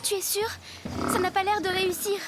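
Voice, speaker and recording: A woman voicing an eager, sensible young girl in an animated series, youthful and questioning. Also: very high-pitched, fairly quick, heavy background noise. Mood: concerned. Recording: music behind the voice.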